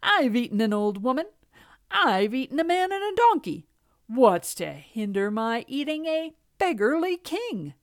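A woman's voice: sits high, very animated, unhurried, no room sound, clean studio sound, voice pushed up, full character voice. A woman voicing a boastful, greedy fat tomcat in a children's storybook, with a swaggering, scornful manner.

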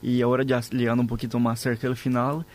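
A man's voice: medium pitch, flat delivery, quick pace, darkish tone. A man hosting a conversational interview, often checking in with tag questions. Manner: frequent "¿verdad?" tags. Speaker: podcast host.

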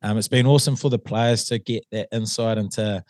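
A New Zealand man with a fairly low, slightly hoarse voice, chatting as a guest. He hesitates a lot, with ums and false starts. The sound is dry and close, with no room around it. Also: steady tone, a little fast, a little mellow, no room sound. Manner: hesitant, many ums and restarts. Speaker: assistant rugby coach, guest. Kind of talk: casual interview chat.

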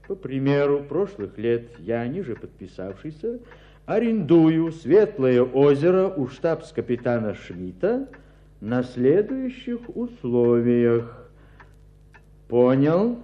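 An actor playing a lordly landowner in a radio play, deliberate and condescending. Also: male voice, mid-range voice, very animated. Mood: commanding. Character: lordly gentleman landowner bargaining with his tenant. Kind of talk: Russian radio play acting. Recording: dull radio drama recording.

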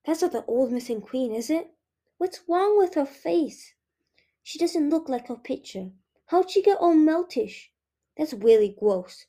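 A woman doing squeaky, cheeky little dragonlings. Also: expressive, a little slow, dark tone. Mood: chatty. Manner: piping, childish, tumbling over words. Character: chattering little baby dragons, cheeky and curious.

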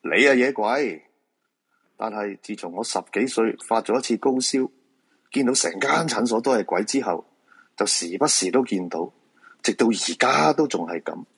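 Man acting grumpy male ghost-seer, low raspy voice, Hong Kong Cantonese, weary, dismissive, radio drama. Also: moderate pace, a bit bright. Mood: irritated. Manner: gruff, dismissive, colloquial. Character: weary, grumpy male feng shui master who sees ghosts.

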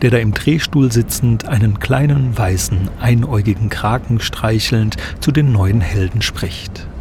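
A man's voice: fairly low pitch, a little slow, music in the background. A man reading scripted narration for an audio play. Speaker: narrator and sound designer.

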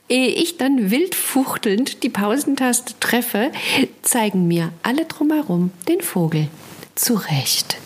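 A woman with a husky, breathy voice at an ordinary female pitch presents a humorous column. Her delivery is conversational yet scripted, wry and expressive. She leans on key words and sets them off with pointed pauses.

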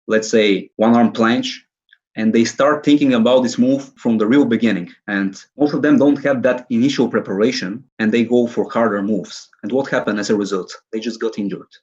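A man's voice: fairly low pitch, darkish tone, highs cut off. A man in his early twenties with a Bulgarian accent, giving casual answers as an interview guest. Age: early 20s. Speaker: interview guest, calisthenics athlete.

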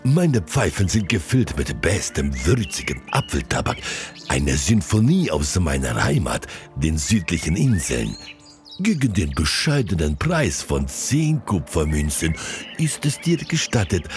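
An actor playing a flowery, courteous southern fortune-teller and pipe seller in an audio drama, speaking in a pompous, ceremonious way. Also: male voice, extremely low pitch, sing-song, measured pace, heavily acted. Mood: proud, inviting. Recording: music behind the voice.

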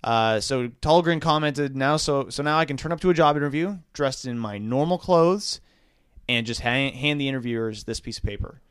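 A man co-hosting a podcast, reading out an odd news story in a relaxed, hesitant way with lots of fillers. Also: mid-range voice, normal speed, clean tone, no room sound, clean studio sound. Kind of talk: casual chat.

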